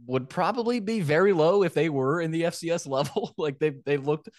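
A man's voice: fairly high pitch, a little fast, no room sound, clean studio sound. A man hosting a sports podcast in a casual, conversational way, often repeating phrases for emphasis.

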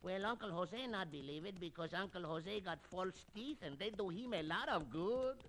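Jolly high-voiced Mexican sidekick. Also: male voice, lively intonation, moderate pace, a bit bright. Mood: playful. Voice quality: exaggerated broken English. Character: jolly Mexican cowboy sidekick, simple and boastful. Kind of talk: old-time radio western acting. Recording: noisy old radio recording.

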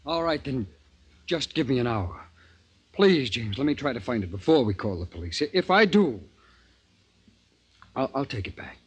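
An actor playing an obsessive, anxious scientist who made a monster, in an intense, urgent 1950s radio drama style. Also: male voice, medium pitch, big pitch swings, normal speed, pauses often, husky. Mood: desperate pleading. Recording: noisy 1950s radio broadcast recording.

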